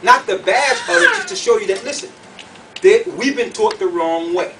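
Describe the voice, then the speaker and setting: A man preaching to a group in an animated, colloquial way, emphatic and full of rhetorical questions. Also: sits high, normal speed, recorded from a distance. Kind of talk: animated sermon with questions to the audience. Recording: noisy room, picked up from afar.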